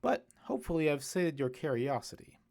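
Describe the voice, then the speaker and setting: A man voicing a kindly, musing priest in an audiobook, speaking in a reflective, explanatory way with gentle wit. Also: a little high, sing-song, brisk, vocal fry, voice pushed up. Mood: gentle, informative. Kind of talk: audiobook character dialogue.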